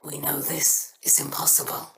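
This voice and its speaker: An actress playing a cold, menacing female alien pirate leader in a hushed, threatening way. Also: sits low, completely flat delivery, moderate pace, in a whisper, far from the mic, poor audio. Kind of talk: video game voice acting.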